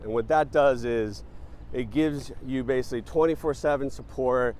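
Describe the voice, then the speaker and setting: A man's voice: mid-range voice, moderate pace. Man talking about his company, with faint street noise. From born in Panama, raised in New Jersey. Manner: relaxed, thoughtful, frequent ums and uhs. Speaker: startup founder, guest. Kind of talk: casual interview answers.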